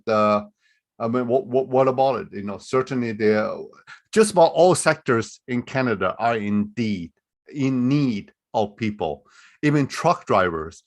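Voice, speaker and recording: A man presenting a live online webinar as a recruiter, explaining things in a loose, conversational way over a video call. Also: medium pitch.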